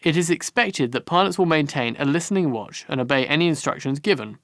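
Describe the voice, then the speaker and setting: A man reading scripted instructional narration for a training course in a measured, formal manner. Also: a little high, animated, fairly bright tone, no room sound, clean studio sound.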